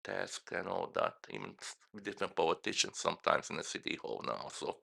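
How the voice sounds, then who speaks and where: A middle-aged man, originally from Croatia, telling his own story as a guest in a halting, rambling way full of fillers. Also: low-pitched, expressive, gravelly, sharp tone. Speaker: guest, police chaplain. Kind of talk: casual personal story at a roundtable.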